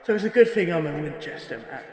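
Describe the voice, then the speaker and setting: A man's voice: a little high, animated, quick pace, darkish tone, natural voice. A man playing a boastful, foul-mouthed thug giving a rambling statement in a parody horror podcast. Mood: boastful, menacing. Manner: rambling, swaggering, sweary, self-interrupting. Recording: roomy echo with long reverb.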